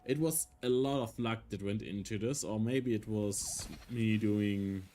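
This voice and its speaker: A man with a German accent, a German native speaker, casually talking through a game on stream, thinking aloud with hesitant, halting phrasing. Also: lowish voice, dark tone, slight background noise. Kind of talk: casual gameplay commentary.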